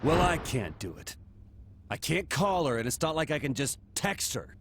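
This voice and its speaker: A man's voice: a little high, very animated, normal speed, a bit bright. An actor playing a scrappy, defiant everyman hero in a video game, blunt and cocky. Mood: uneasy, insistent. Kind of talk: video game dialogue acting. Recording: echoey, music underneath.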